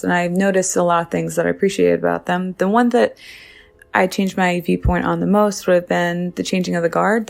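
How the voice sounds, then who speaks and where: A woman speaks in a fairly low register with a strongly creaky edge. She gives casual commentary in a reflective, personal manner, like a listener sharing her own views. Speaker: podcast listener giving episode opinions.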